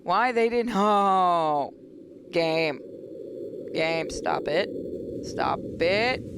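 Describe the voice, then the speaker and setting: A woman hosting a gaming video, reading a visual novel aloud dramatically with playful, expressive asides. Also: lowish voice, very slow, noisy background.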